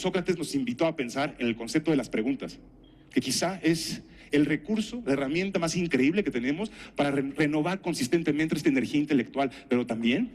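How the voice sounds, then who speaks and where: A middle-aged man with a Mexican accent giving a conference talk, telling anecdotes and asking rhetorical questions in a didactic, deliberate way. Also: a little high. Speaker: conference speaker and health professional.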